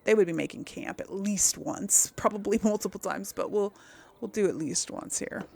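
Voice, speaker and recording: A woman's voice: mid-range voice, normal speed, vocal fry, darkish tone. A woman giving casual playthrough commentary as host of a gaming video, chatty and thinking aloud.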